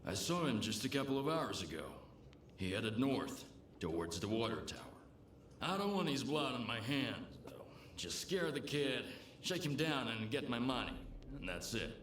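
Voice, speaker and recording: A gruff, pragmatic barkeep from a survival video game. His delivery is lively and varied at a medium pitch, slangy and worldly. The room rings around him, with noisy ambience behind. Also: normal speed, reverberant. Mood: shrewd. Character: world-weary, pragmatic male bartender in a lawless zone. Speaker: bartender character.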